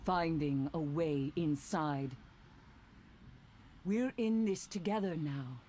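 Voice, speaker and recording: A woman's voice: deep voice, mellow, slight background noise. An actress playing a grave, haunted woman mystic in a video game, speaking in a solemn, cryptic, theatrical way. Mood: haunted, resolute. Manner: solemn, theatrical, deliberate delivery. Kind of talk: dramatic video game voice acting.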